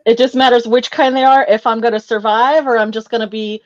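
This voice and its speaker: A woman's voice: a little high, steady tone, fairly quick. Woman co-host, dull lo-fi sound. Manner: chatty, lots of fillers like "um" and "like". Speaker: podcast co-host. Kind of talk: casual film discussion.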